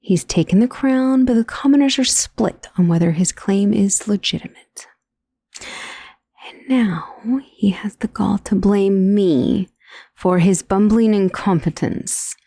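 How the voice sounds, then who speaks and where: A woman playing a powerful, aristocratic vampire who is doting and protective, speaking softly and formally in an intimate ASMR roleplay. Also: medium pitch, slow pace, no room sound, clean studio sound. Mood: indignant, brooding. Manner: formal, refined, genteel wording. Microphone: close, dry studio microphone.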